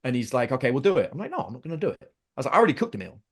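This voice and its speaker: A fast-talking middle-aged man who tells stories about his children, his words tumbling out quickly. He switches voices to play each person in the scene and keeps the chat casual and animated. His voice sits at an ordinary middle pitch. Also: breathy edge. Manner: animated, acts out his kids' and his own lines. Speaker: podcast guest. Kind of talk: storytelling in casual conversation.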